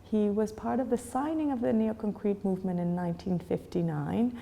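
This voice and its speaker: A woman's voice: medium pitch, normal speed, gravelly, warm tone, big-room sound. A woman in her forties from Chile, answering interview questions thoughtfully, with many fillers and restarts. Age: 40s. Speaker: gallery owner.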